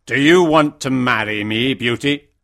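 An actor playing a lonely, kind, ugly beast who rules a castle, speaking solemnly and courteously in a storybook reading. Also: male voice, mid-range voice, measured pace, creaky, fairly bright tone. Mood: earnest. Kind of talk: dramatised storybook reading for learners.

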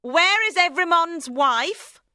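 A woman voicing a ruthless, vengeful revolutionary woman in an audiobook, speaking in a commanding, ominous, deliberate way. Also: sits high, sing-song, pushed and bright, no room sound, clean studio sound, pitched above the performer's own voice, full character voice. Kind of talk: acted storybook dialogue.

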